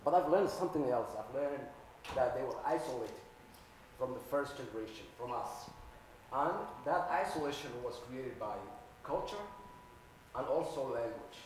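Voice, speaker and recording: A man's voice: mid-range voice, expressive, moderate pace, darkish tone, a little hiss or hum, rough recording. Middle-aged man, earnest panel storyteller, lapel mic on stage. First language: Somali. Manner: earnest storyteller, repeats words as he builds phrases. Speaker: panelist, community worker and radio host. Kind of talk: panel talk, personal anecdotes. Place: auditorium stage.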